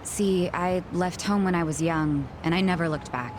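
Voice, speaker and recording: A woman's voice: on the low side, moderate pace, background noise, close to an everyday voice. A woman playing a blunt, guarded young cabaret club bartender in an English video game dub, frank and curt. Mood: frank, explanatory.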